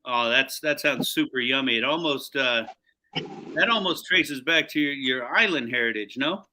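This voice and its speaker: A man's voice: medium pitch, normal speed, pushed and bright, slight background noise, low-quality recording. A man hosting a live cooking show, chatting casually in slang and talking up the food as he cooks.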